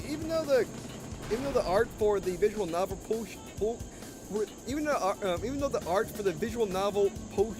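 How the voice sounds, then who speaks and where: A man giving casual gameplay commentary, halting and often stumbling over and repeating his phrases. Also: high-pitched. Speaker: gaming video host. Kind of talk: casual gameplay commentary with read-aloud dialogue.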